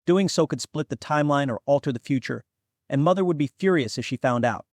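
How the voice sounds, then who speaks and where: Cautious young demigod, voiced by a man: earnest, easily offended, fairly high male voice, fantasy audiobook. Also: expressive, fairly quick, no room sound, clean studio sound. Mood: alarmed refusal. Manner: earnest, protesting. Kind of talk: fantasy audiobook character acting.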